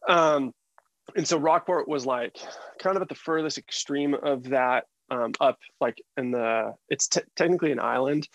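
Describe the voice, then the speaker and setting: A man's voice: mid-range voice, normal speed, highs cut off. A man of about forty with an American accent, chatting informally and with slang as a podcast guest. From United States. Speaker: podcast guest, sound engineer. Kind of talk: casual interview chat.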